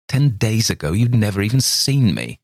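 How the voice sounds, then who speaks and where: A stern, priggish gentleman lectures in a deep, measured voice, as a male audiobook narrator plays him. He sounds cool and disapproving, close on a dry recording. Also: big pitch swings, creaky, dry recording, heavily acted. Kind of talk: audiobook character voice.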